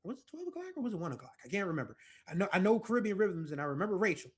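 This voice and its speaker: An American man in his forties talks through a music episode in a loose, chatty way, wandering into asides and correcting himself as he goes. His voice sits a little higher than most men's. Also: sing-song, rapid-fire, breathy edge. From United States. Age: 40s. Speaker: music podcast host. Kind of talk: casual music commentary.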